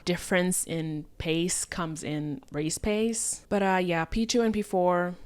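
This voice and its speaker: A woman with a German-like accent giving a casual, chatty, opinionated recap as a motorsport vlogger. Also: low-pitched.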